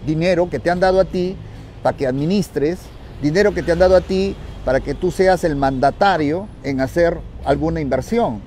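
A man's voice: on the high side, normal speed, mellow. A man with a Peruvian accent coaching viewers in an instructive vlog style, emphatically repeating his phrases. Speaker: real estate investment coach. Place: outdoors on a city street. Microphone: handheld phone. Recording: street noise around a handheld phone.

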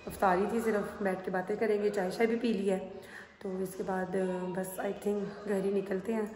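Hoarse woman in her 30s vlogging on her phone at home, slow tired chatty talk, throat's sore. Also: mid-range voice, little pitch movement, a little mellow, slight background noise. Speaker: family vlogger. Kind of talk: casual vlog chat to camera. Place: indoors at home. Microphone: phone held at arm's length.

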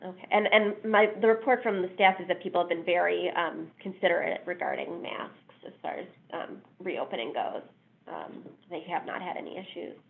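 A woman's voice: lowish voice, a little fast, gravelly. A woman chairing a township board meeting in an orderly, procedural way. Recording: thin phone-like sound.

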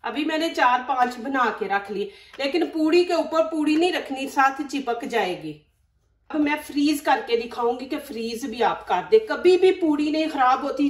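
A woman's voice: high-pitched, roomy sound, far from the mic. A woman with a Pakistani Urdu accent hosting a cooking demonstration at home, chatty and instructive, often saying religious phrases. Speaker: cooking channel host. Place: home kitchen.

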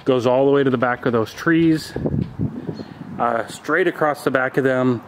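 A man in his forties giving a casual, relaxed walking tour of his homestead, thinking aloud with fillers as he films himself on a handheld camera. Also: medium pitch, a little slow, noisy background. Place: outdoors and in farm buildings. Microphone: handheld camera mic, filming himself.

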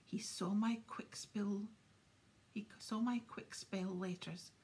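A woman voicing a furious, sobbing old male caretaker in a shrill, choked voice. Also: fairly even intonation, slow pace, a little mellow, lightly acted. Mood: distraught.

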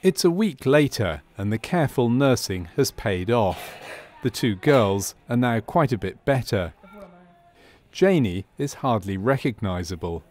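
A man's voice: medium pitch, lively intonation, measured pace, darkish tone. A man reading scripted narration for a medical training film.

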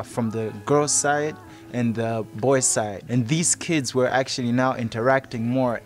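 A man in his twenties giving a reflective, explanatory account in a documentary interview as a project facilitator. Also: mid-range voice. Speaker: youth theatre project facilitator. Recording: music playing under the voice.

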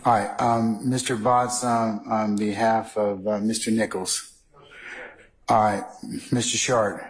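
A man speaking as a judge in a courtroom, ruling in a halting, deliberate way. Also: lowish voice, level tone, rough recording. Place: large wood-paneled courtroom.

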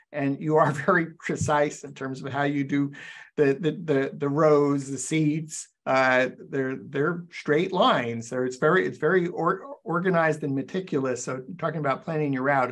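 An older man hosting a video call interview, talking in halting stops and starts with many fillers. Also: a little high. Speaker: show host and forum founder. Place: indoors, virtual backdrop. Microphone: webcam on video call.

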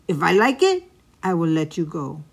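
Woman doing a slow menacing monster. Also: on the low side, very animated, vocal fry, husky, heavily acted. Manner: slow, drawn-out, loud and menacing. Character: menacing slow-talking goat-eating monster, male.

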